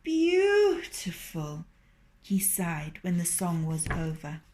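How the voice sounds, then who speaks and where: A woman reading a children's story aloud in an expressive, lilting way. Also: lowish voice, slow.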